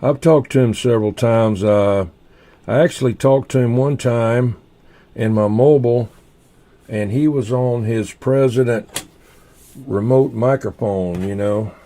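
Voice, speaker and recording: A man hosting a casual livestream, rambling in an unhurried way and half muttering to himself as he goes. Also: medium pitch, dark tone. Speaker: livestream host.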